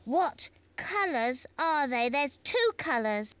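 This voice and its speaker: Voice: high-pitched, big pitch swings, takes it slowly, a bit bright, no echo, full character voice. A performer voicing a cheerful young tiger puppet who hosts a children's quiz, bouncy and sing-song. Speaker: quiz host. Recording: children's show with music underneath.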